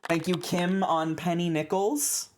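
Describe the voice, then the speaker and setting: High-voiced man hosting a casual stream. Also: steady tone, a little slow, a little hiss or hum. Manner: jokey, effusive. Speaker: stream host. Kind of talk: casual live stream chat.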